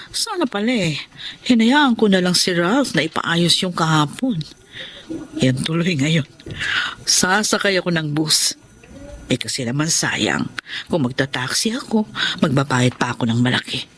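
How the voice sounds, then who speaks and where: A woman's voice: lowish voice, slightly rough, heavily acted. Elderly Filipina mother, swooping intonation. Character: doting elderly mother, chatty and thrifty. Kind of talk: Filipino audio drama acting.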